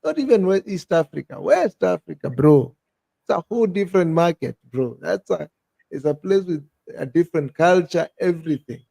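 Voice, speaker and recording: A man's voice: fairly high pitch, normal speed, vocal fry, no room sound. A Kenyan man in his thirties chatting on a podcast, telling stories with energy and calling his friend "bro". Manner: animated storyteller, peppers talk with "bro". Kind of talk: casual chat.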